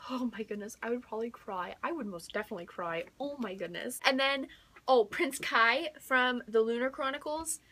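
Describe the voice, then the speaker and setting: High, bright-voiced gushing young American woman. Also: slightly rough. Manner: gushing, emphatic, repeats words for emphasis. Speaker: book vlogger. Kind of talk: casual excited chat to viewers.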